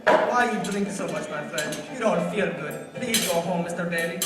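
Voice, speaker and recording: A man's voice: high voice, moderate pace, lightly acted. A man playing a kindly, concerned bar owner in a live radio play. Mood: concerned, coaxing. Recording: echoey hall, distant mic, loud music.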